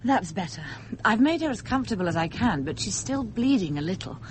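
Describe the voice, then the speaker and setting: An actress playing a spirited, anxious and caring young woman passenger in a radio drama, animated and urgent. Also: mid-range voice, brisk, a little hiss or hum, close to an everyday voice, rough recording. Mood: alarmed.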